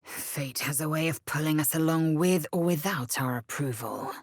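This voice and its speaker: A woman voicing a fierce, proud young githyanki warrior in a video game, blunt and disdainful, with clipped, formal, archaic phrasing and a husky, breathy voice. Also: deep voice, moderate pace, dry recording, clean studio sound. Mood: grave. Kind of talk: video game character voice lines.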